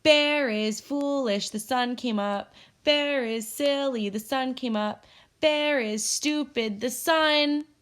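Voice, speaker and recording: A woman voicing a small, cheeky, quick-witted male squirrel for a storybook, chirping in a singsong way. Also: high voice, a bit bright, voice pushed up. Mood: gleeful teasing. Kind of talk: storybook character voice.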